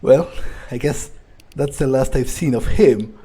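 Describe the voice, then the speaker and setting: A man's voice: medium pitch, a little slow, mellow, slightly rough. A man voicing a cocky, cruel, foul-mouthed vampire as in-character commentary on a video game, drawling and sardonic as he muses aloud. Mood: scornful. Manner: drawling, sneering, musing.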